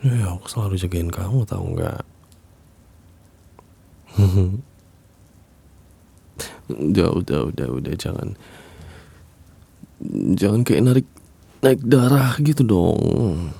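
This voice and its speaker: A creepy, obsessive young man, played by a man, murmurs in a hushed, cooing way and lets out low chuckles. His very deep voice is gravelly and husky, and he drawls everything slowly. It sounds like an intimate ASMR roleplay. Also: expressive, heavily acted. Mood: soothing menace. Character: obsessive young man secretly haunting your bedroom.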